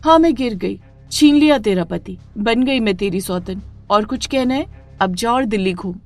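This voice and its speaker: A woman's voice: mid-range voice, expressive, normal speed, soft background music, lightly acted. A woman voicing a sly, attentive young woman who plays the rival in a melodramatic story. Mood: defiant. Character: caring, sly young woman friend Mukta, coolly stealing a husband. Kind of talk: melodramatic Hindi moral story acting.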